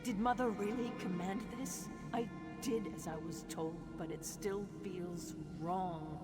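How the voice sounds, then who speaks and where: A woman's voice: on the low side, steady tone, unhurried, lightly acted. An actress playing a troubled young female assassin in a video game, earnest, emotional and wounded. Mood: anguished, pleading. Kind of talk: dramatic video game acting. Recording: loud music under the voice.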